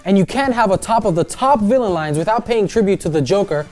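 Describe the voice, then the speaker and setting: A woman's voice: mid-range voice, expressive, fast, a little mellow, faint music behind. A woman presenting a scripted countdown list with chatty, opinionated pop-culture commentary.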